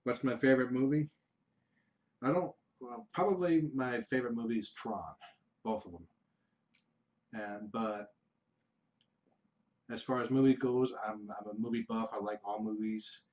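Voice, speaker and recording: A middle-aged man from the United States answering viewer questions on a casual livestream, rambling and halting with frequent restarts. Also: medium pitch, steady tone, darkish tone. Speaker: kitten foster livestreamer.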